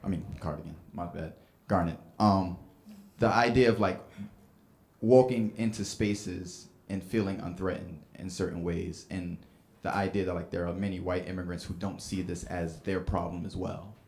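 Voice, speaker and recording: A man's voice: sits low, fairly even intonation, moderate pace, creaky, a little mellow. A man in the audience asking a panel a question, rambling with many fillers and restarts. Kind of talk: unscripted question from the floor. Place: event hall with audience. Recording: echoey room.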